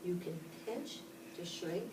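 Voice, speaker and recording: A woman's voice: lowish voice, slow, background noise. A woman from Pittsburgh teaching a class, explaining each step and asking the children questions. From Pittsburgh, Pennsylvania. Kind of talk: classroom instruction. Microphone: camera across the room. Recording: echoey classroom from far off.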